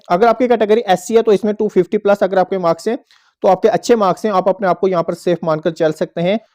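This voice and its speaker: A man's voice: high voice, warm tone. A man presenting an informational explainer to viewers, going step by step and repeating points as he goes. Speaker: host of an exam update channel.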